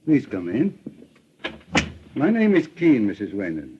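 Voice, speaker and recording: An actor playing a courteous, authoritative private investigator in a 1940s radio drama, speaking in formal, measured questions. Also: male voice, lowish voice, huge pitch swings, background noise. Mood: polite, probing. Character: composed, courteous older male private investigator, probing and authoritative. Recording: dull old-time radio broadcast recording.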